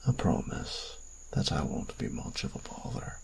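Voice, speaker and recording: A man playing a sinister, courtly dark magical being in a fantasy audio roleplay, speaking formally and teasingly in a gravelly near-whisper. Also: extremely low pitch, very animated, a little hiss or hum, exaggerated voice, poor audio. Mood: curious, courteous. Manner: formal, measured, archly polite, teasing.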